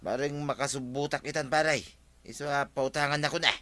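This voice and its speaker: A man's voice: fairly high pitch, fairly even intonation, fairly quick, sharp tone. A man acting a broke, pleading, hot-headed gambler in an Ilocano radio comedy drama, wheedling and complaining with an Ilocano Filipino accent. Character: broke, pleading gambler, a whining hothead villager.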